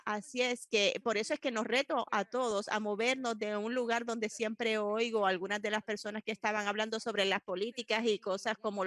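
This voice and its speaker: Woman interpreting live, halting restarts. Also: mid-range voice, fairly bright tone, no echo. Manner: halting, frequent restarts and self-corrections while interpreting. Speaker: simultaneous interpreter. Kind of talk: live interpretation of a panel discussion.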